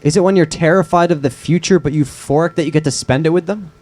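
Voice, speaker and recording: Young man, acted by a man, lightly raised pitch, lovesick, wry, earnest, live table-read drama. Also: fast, a little mellow, natural voice. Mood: earnest, loving. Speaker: actor in a screenplay table read.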